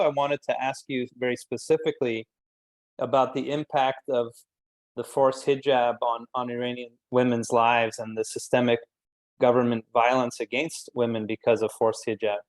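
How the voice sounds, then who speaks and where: Man, panel moderator, level intonation, measured halting phrasing, formal introductions and questions. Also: medium pitch, poor audio.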